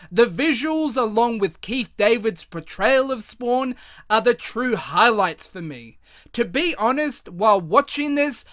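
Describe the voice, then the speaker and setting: A man in his thirties narrating a scripted retrospective review in a measured, reflective way. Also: very high-pitched, no echo, clean studio sound. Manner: measured, reflective, even delivery.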